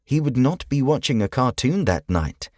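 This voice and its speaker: A man reading a scripted children's audiobook as narrator, in a measured, expressive storytelling way. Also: medium pitch, no echo, clean studio sound.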